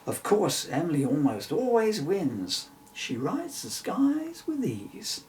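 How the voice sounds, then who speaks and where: A middle-aged man reading a children's story-poem aloud in an expressive, theatrical way. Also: high-pitched, slow, distant mic, a little hiss or hum. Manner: animated, theatrical. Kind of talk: expressive rhyming storybook narration.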